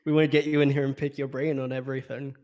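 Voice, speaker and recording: A man hosting a casual voice-call chat, getting over a fever and explaining things in a relaxed way. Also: mid-range voice, rapid-fire, lo-fi sound. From Australia. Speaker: Discord hangout host.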